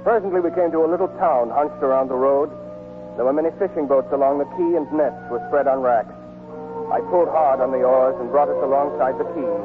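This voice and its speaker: A dull vintage radio recording with music behind it carries an actor playing a steady, devoted American man. His mid-pitched delivery is plain and understated, in 1940s radio play style. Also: a little fast, lightly acted. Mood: reflective. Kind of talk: 1940s radio drama acting and first-person narration.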